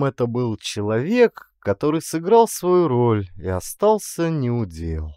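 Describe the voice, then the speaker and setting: A man narrating a literary story as an audiobook, in an expressive, actorly reading style. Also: mid-range voice, no room sound, studio quality.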